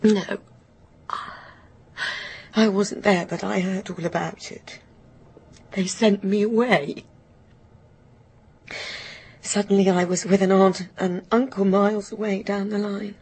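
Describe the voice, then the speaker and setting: A very breathy, husky voice of a signalman's grown daughter in a radio play. She confesses haltingly, bitter and stubborn, weighed down by guilt, often sinking toward a murmur. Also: mid-range voice, steady tone, darkish tone. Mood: quiet, desolate. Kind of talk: radio drama acting, confessional monologue.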